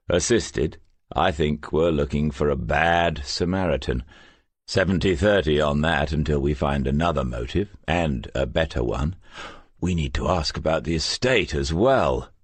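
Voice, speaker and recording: A man's voice: very deep voice, husky, no room sound, heavily acted. A man voicing a probing male police detective in an audiobook, terse and questioning. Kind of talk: audiobook character voice.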